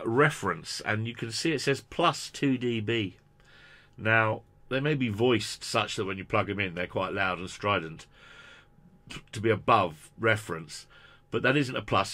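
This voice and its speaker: A man's voice: fairly low pitch, pushed and bright. A British man in his fifties presenting an unscripted product review in a casual, chatty, rambling, self-deprecating way. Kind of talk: casual unscripted unboxing commentary. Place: small cluttered room indoors.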